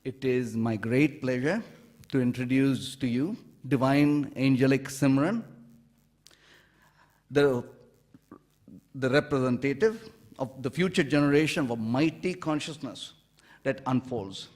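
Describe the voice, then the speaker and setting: A man giving a formal stage introduction as event presenter, speaking in a measured, ceremonious and flowery way. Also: medium pitch, a little mellow.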